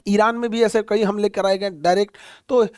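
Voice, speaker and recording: A man's voice: sits high, steady tone, normal speed, clear, resonant tone, dry recording, clean studio sound. A man teaching a current-affairs lesson in a plain explaining manner, pointing things out on a map and using many fillers. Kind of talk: explainer lecture.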